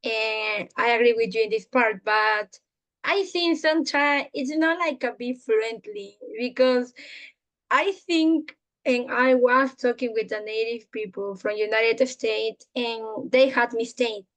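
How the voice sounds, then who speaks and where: A woman's voice: on the high side, unhurried, fairly bright tone, poor audio. A woman in her twenties from Peru, hosting a casual English practice conversation in learner English, earnest and encouraging. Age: 20s. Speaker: conversation host.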